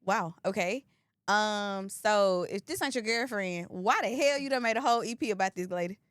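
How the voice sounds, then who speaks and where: A woman's voice: medium pitch, normal speed, fairly bright tone, no room sound, studio quality. A woman co-hosting a casual talk show, blunt and sassy. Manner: sassy, blunt, laughing asides. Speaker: podcast co-host. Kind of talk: casual chat.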